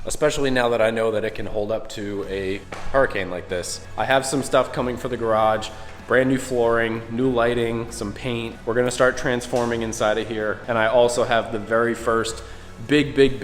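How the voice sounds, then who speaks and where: Young dude vlogging, bit of a cold, lowish voice, echoey shed, faint music under. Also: male voice. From Florida. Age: young adult. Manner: relaxed, chatty, thankful to viewers. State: getting over a cold, voice a bit off. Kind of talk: casual vlog to camera. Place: inside a large metal garage. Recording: long reverb in a metal garage.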